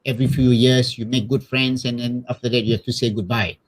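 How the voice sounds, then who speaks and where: A middle-aged priest from Malaysia with a Malaysian accent, telling personal stories casually and haltingly, often repeating words. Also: male voice, mid-range voice, flat delivery, poor audio. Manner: hesitant, repeats words, tags with "you know". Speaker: missionary priest being interviewed.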